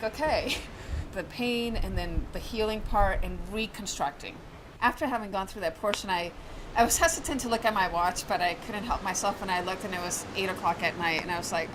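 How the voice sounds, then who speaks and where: A woman from Venezuela describing a spiritual experience in a slow, searching way with vivid imagery. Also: mid-range voice, noisy background. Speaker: retreat guest. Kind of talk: reflective testimonial.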